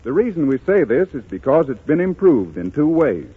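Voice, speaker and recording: A man's voice: a little high, sing-song, normal speed, husky. A man reading a scripted 1930s radio commercial in an emphatic, salesmanlike way. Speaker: commercial announcer. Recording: muffled, faintly noisy 1930s radio recording.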